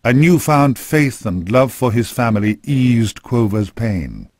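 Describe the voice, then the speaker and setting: A man narrating a scripted dark fantasy game story in a grave, measured storytelling manner. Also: medium pitch, sing-song, no room sound, studio quality.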